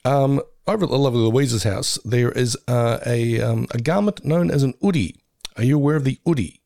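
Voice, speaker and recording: A man's voice: mid-range voice, brisk, creaky, dark tone, no room sound, clean studio sound. A man co-hosting a casual podcast, joking around and laughing often. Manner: jokey, self-mocking, laughs often. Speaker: podcast co-host.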